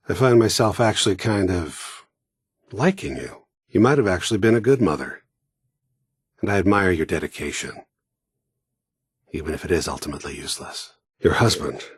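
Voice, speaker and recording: An actor playing a cold, world-weary middle-aged American mercenary in a video game, with a gravelly, raspy voice and a laconic, sardonic, quietly menacing manner. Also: male voice, sits low, no echo, studio quality, exaggerated voice. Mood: wry admiration, then grim, taunting. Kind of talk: video game voice acting, cinematic monologue.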